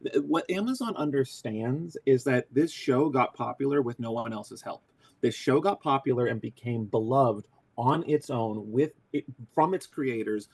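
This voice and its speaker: A man who voices a cartoon character, talking in a casual interview, excitable and crude, joking and restarting often. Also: mid-range voice, moderate pace, creaky, slightly rough. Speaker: voice actor guest.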